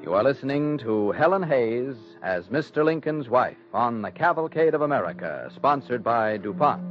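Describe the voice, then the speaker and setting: A man announcing a radio drama in a formal, deliberate 1940s scripted narration style. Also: medium pitch, big pitch swings. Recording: muffled vintage radio recording with music. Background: music.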